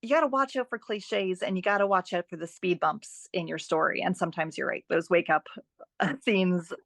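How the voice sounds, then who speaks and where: A woman's voice: lowish voice, moderate pace, gravelly, fairly bright tone, dry recording. A woman speaking as an expert guest, explaining advice conversationally with emphasis and rhetorical questions. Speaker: guest editing expert and author.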